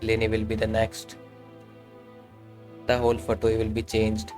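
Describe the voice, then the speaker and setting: A man's voice: fairly low pitch, completely flat delivery, unhurried, darkish tone, faint music behind. A man livestreaming a game with informal, casual reaction commentary, chatting as he plays.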